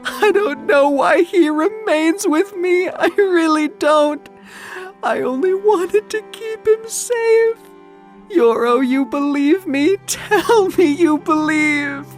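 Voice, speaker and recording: A performer voicing a melodramatic woman who sobs and pleads in drawn-out phrases, in an audio drama. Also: very high voice, faint music behind, pitched above the performer's own voice. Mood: tearful, pleading. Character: weeping, gushing, theatrical mother, newly transformed woman.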